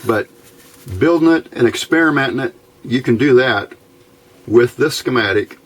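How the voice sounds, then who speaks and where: A man giving an informal, unscripted hands-on electronics demonstration, talking haltingly and thinking aloud as he goes. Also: mid-range voice, a bit bright. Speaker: radio hobbyist presenting his build. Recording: clear background noise.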